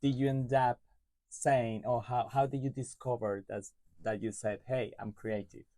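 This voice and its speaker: A man hosting a podcast interview, friendly and halting, restarting his questions. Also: mid-range voice, fairly even intonation, warm tone, no room sound. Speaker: podcast host. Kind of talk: casual interview.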